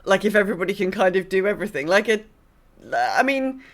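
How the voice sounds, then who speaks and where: A woman's voice: mid-range voice, expressive, fast. A woman co-hosting a podcast in a casual gaming discussion, opinionated and thinking aloud, often repeating words for emphasis. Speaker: podcast co-host.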